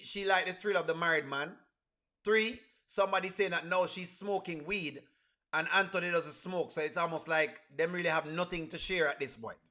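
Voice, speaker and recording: A man's voice: a little high, sharp tone. A man with a Caribbean accent hosting a casual reaction livestream, talking to viewers and repeating his phrases for emphasis. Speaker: livestream host.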